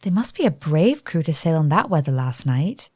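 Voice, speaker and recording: An actress playing a genteel, romantic young bride-to-be in a radio play, with gushing, proper period diction. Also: female voice, low voice, sing-song, moderate pace, darkish tone, slightly rough, dry recording, studio quality, heavily acted. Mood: eager, curious.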